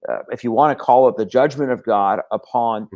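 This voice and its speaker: An Iran-born man speaks as a talk show guest, his voice sitting at an ordinary middle pitch. He talks earnestly and steadily builds his points, though he often trips into stammered repeats and restarts. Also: fairly quick, darkish tone. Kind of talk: casual talk show conversation.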